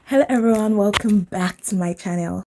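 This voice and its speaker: A woman's voice: medium pitch, a little fast, background noise. A young adult woman narrating a step-by-step video tutorial as its host.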